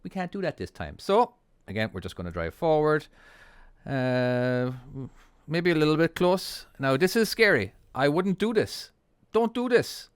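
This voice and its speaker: A man's voice: on the high side, sing-song, measured pace, smooth, no room sound, clean studio sound. Chatty Irish man commentating a game. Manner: chatty, self-deprecating, laughs often. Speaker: trucking channel host. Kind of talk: casual gameplay commentary.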